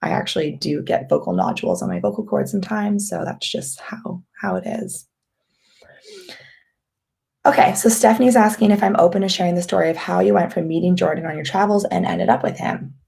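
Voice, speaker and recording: Low raspy gravelly woman, chatty. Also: brisk. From Canada. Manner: relaxed, rambling, with many ums and restarts. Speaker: vlogger hosting a live Q&A. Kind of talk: casual live-stream chat reading viewer questions.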